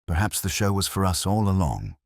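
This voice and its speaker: A man voicing a calm, courteous, thoughtful police inspector with measured, deliberate phrasing. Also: low-pitched, gravelly, no echo, studio quality, voice pushed up, exaggerated voice. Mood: calm, musing.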